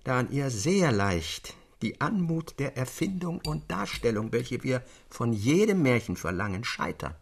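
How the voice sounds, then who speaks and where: A man playing a thoughtful, critical gentleman of a literary circle in an audio drama. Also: mid-range voice, very animated, unhurried, creaky, few pauses, a little hiss or hum. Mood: critical, pensive.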